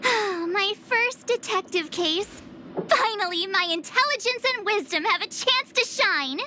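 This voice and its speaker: Eager young girl sleuth, high bright voice, bubbly, excitable, cocky, game dialogue, faint noise. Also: measured pace, heavily acted. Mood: excited. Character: perky, eager young girl playing detective. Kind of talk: video game voice acting.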